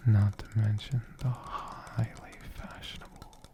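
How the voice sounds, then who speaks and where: A man voicing an ancient, gentle, affectionate dragon in soft-spoken whispered ASMR, lingering over words and softly repeating them. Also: lowish voice, level tone, measured pace, heavy background noise, lightly acted. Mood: soft, soothing. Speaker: ASMR host answering viewer questions. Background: computer keyboard typing.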